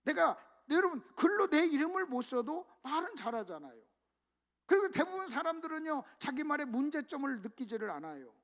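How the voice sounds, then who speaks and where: A man's voice: very high-pitched, big pitch swings, a little fast. A male pastor preaching a revival sermon emphatically, often calling out to the congregation.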